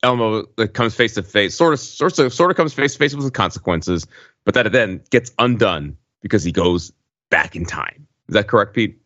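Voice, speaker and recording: A man co-hosting a casual chat, halting and repeating words as he starts his thoughts. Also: fairly low pitch, lively intonation, fairly bright tone, no room sound, muffled recording. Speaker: podcast host.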